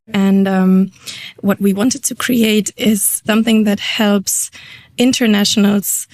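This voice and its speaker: A woman's voice: mid-range voice, a little mellow, no room sound. A woman in her thirties, a business coach, speaking in a friendly, explanatory way in a podcast interview.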